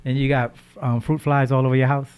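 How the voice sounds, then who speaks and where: Guy hosting a radio show, mid-pitch voice, animated, keeps saying right?. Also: brisk, clean tone, low-quality recording. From Haitian background. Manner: animated, repeats words, asks "right?" often. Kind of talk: casual health talk on radio.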